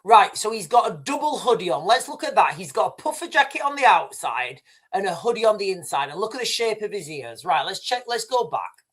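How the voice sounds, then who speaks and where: A man's voice: high voice, big pitch swings, brisk, fairly bright tone. A man hosting a livestream, giving loose running commentary over video clips and talking straight to his viewers. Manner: rambling, talks straight to viewers as "guys", frequent restarts and "right". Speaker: livestream host.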